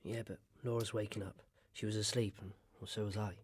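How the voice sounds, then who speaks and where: A man acting in a radio drama as a gruff, sardonic, middle-aged retired criminal who is stubborn and dismissive. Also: lowish voice, flat delivery, brisk. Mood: weary, firm. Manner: curt, sardonic.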